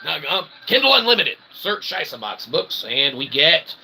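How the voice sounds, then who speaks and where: A man hosting a comedy show in a rambling, mock-earnest monologue, halting and trailing off between phrases. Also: on the high side, big pitch swings, creaky, noisy background. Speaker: comedy show host and author.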